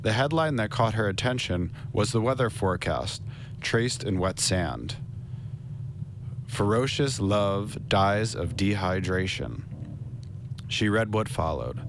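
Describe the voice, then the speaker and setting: A man in his thirties narrating a literary radio drama in a measured, solemn, deliberate way, close to the microphone. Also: lowish voice, animated, background noise. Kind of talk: scripted literary narration, poem recital. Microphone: large microphone on a stand, close. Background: string instruments playing.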